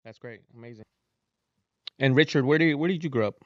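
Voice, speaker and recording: A man's voice: mid-range voice, quick pace, no echo. A man hosting an interview, asking questions and giving brief encouraging replies. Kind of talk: casual interview. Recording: dull dry podcast audio.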